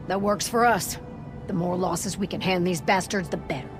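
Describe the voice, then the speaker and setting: A woman's voice: fairly low pitch, normal speed, over music, natural voice. A woman playing a battle-weary, no-nonsense lieutenant in a game, speaking in a curt, dry, commanding way. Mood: dry, guarded. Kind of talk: cinematic game dialogue.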